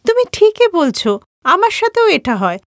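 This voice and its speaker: A woman's voice: extremely high pitch, big pitch swings, moderate pace, dry recording, studio quality, pitched above the performer's own voice. Haughty young office-going daughter-in-law, a woman doing her, cartoon dub. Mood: snide. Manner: snappy, demanding, dismissive.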